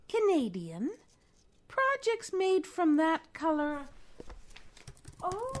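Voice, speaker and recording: A woman's voice: very high voice, exaggerated voice. A woman playing a flirtatious, helpful knitting shop clerk in a spy spoof, coy and melodramatic.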